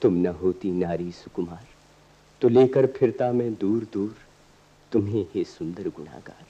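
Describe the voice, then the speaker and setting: An earnest young romantic idealist in a radio drama. His low, mellow voice carries lofty, poetic declarations with a husky grain. The archival recording is dull. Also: measured pace. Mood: idealistic, pleading. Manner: lofty, declamatory, literary diction. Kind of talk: radio play acting.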